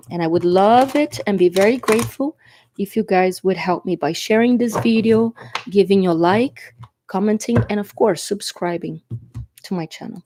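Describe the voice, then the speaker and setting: A woman's voice: mid-range voice, warm tone, a little hiss or hum. A woman whose first language is Portuguese gives a chatty tarot reading, congested and coughing from allergies or a cold. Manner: chatty, reassuring, often asks "okay?". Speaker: tarot reader. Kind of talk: casual tarot reading to camera. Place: indoors at a table.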